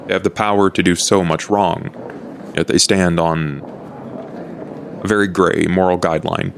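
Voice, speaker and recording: A low, gravelly male vampire played by a man at a gaming table, old and courteous. He talks in measured, formal phrases with understatement, over faint background noise. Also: steady tone. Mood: reflective, reserved.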